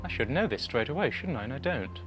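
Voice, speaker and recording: A man's voice: medium pitch, very animated, fast talker, a bit bright, faint music behind, poor audio. Unsure man on a quiz show. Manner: unsure, self-deprecating. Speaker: quiz team member.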